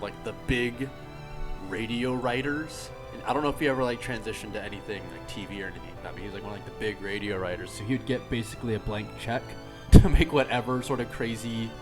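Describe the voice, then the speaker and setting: A man's voice: lowish voice, fast, over music. A man hosting a casual behind-the-scenes group chat, talking in a rambling, explanatory way. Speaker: host of a radio-drama group.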